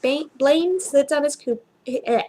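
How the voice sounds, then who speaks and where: A woman's voice: high voice, a little slow, mellow. A woman reading fiction aloud as an amateur narrator, expressively, with the occasional stumble over a word. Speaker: amateur story reader.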